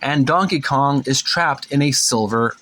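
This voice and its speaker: A man with a medium-pitched voice gives casual commentary as a host. His delivery is folksy and rambling, with hesitant phrasing as he describes things or reads captions aloud. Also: unhurried, pushed and bright, a little hiss or hum. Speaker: host of a retro gaming channel. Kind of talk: casual show-and-tell commentary, reading book captions aloud.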